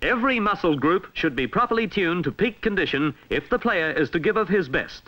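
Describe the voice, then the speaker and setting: A man with an Australian accent reading a scripted 1960s instructional film narration in a formal, measured, emphatic way, on a vintage film soundtrack. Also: high-pitched, animated, bright, forceful tone, dull audio.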